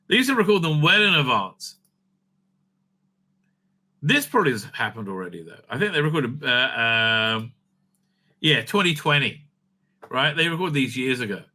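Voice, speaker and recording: A man giving unscripted, profane, opinionated commentary as a video host, stammering and repeating himself in an excitable way. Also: on the high side, low-quality recording.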